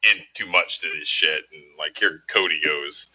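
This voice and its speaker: A man's voice: extremely low pitch, moderate pace, sharp tone, husky, highs cut off. A man co-hosting a podcast in casual chat, hunting for words with lots of filler. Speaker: podcast co-host.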